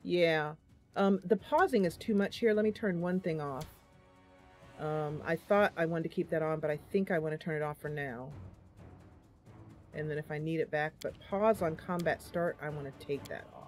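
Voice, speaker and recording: A woman's voice: sits low, normal speed, soft background music. A woman hosting a gaming channel, chatting casually through her gameplay and thinking aloud with lots of fillers.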